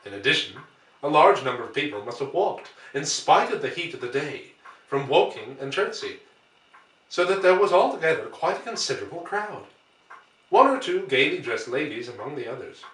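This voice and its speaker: A man narrates literary prose in a measured, deliberate way. His voice is bright and forceful at an ordinary pitch. The recording is roomy and echoing, picked up some way from the microphone. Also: very animated, distant mic. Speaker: audiobook reader.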